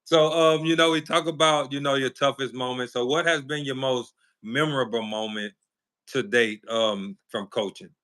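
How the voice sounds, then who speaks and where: A man from small-town Louisiana hosting a casual interview, relaxed and quick to laugh. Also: mid-range voice. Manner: relaxed, laughs often, loose Southern phrasing. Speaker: interview host.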